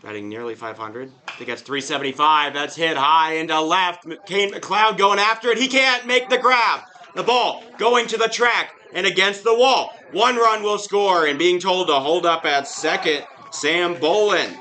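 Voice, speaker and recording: Hoarse man, play-by-play announcer, bright tense tone, chatty with asides. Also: sits high, moderate pace, few pauses. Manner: chatty, with casual asides between plays. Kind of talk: live baseball commentary.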